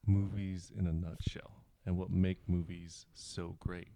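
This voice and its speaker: A young man co-hosting a podcast, pushing back quickly and repeating himself as he quizzes the others. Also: deep voice, little pitch movement, gravelly, warm tone, slight background noise. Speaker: podcast co-host. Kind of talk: casual chat.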